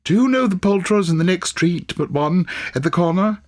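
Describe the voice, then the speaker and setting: A man's voice: high voice, animated, normal speed, pitched above the performer's own voice. A man voicing an old, repentant miser in an audiobook, stammering and repeating himself in breathless exclamations. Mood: giddy delight. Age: older.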